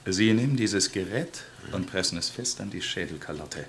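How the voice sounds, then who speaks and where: A man's voice: deep voice, expressive, moderate pace, fairly bright tone. An actor playing a matter-of-fact male scientist in a radio play, giving instructions in a clinical manner. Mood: clinical, instructive. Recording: noisy, with mechanical device sounds.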